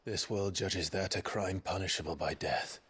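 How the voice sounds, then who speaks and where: A man's voice: sits low, normal speed, fairly bright tone, husky, full character voice. A man playing a brooding, resolute young nobleman warrior in a video game, grave and earnest. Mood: grave resolve. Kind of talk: video game cutscene acting.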